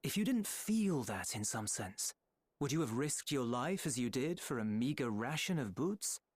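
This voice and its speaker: A man voicing an earnest, steady companion in a game cutscene, speaking in an encouraging way. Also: mid-range voice, normal speed, no echo, studio quality. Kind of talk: video game cutscene dialogue.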